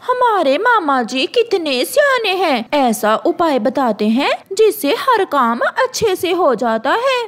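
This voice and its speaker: Woman as high-pitched jealous crow wife. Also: sing-song, slow, hardly any pauses, a bit bright, full character voice. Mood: delighted.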